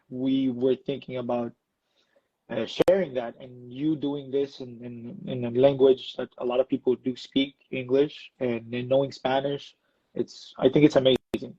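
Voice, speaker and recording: A man from Ecuador speaks in a warm, mellow tone, telling his story in a loose, rambling way full of fillers and restarts. The sound is dry and a little muffled, close to the mic. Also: mid-range voice, no echo. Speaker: electro dancer. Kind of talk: casual interview talk.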